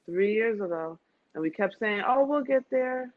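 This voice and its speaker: A woman's voice: medium pitch, lively intonation, normal speed. A woman in a casual, conversational travel chat with a friend, often repeating phrases for emphasis. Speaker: podcast speaker. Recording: dull lo-fi sound.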